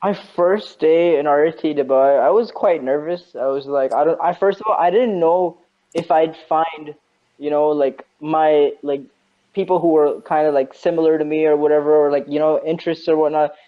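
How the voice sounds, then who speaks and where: A man's voice: fairly high pitch, steady tone, moderate pace. A young man from Saudi Arabia chatting casually on a podcast, rambling and hesitant with lots of fillers and some dry teasing. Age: young adult. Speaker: podcast co-host. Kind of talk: casual chat. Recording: dull lo-fi sound.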